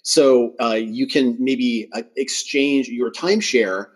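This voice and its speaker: A man's voice: mid-range voice, slow, breathy edge. A man hosting a podcast in a conversational style, with a welcoming and appreciative manner. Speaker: podcast host.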